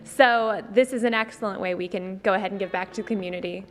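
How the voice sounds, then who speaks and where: A woman's voice: mid-range voice, fairly even intonation, fast, rough recording. A woman giving casual interview answers on location as a representative of a community organization. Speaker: theater representative being interviewed. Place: on location inside a community theater. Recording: faint music behind voice.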